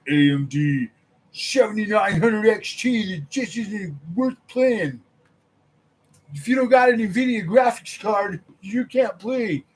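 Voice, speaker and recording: A young man hosting a gaming channel, chatting casually with his viewers, animated and laughing, his voice a little worn from nearly losing it. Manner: animated, laughing, mimics others in a high voice. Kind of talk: casual livestream chat with viewers.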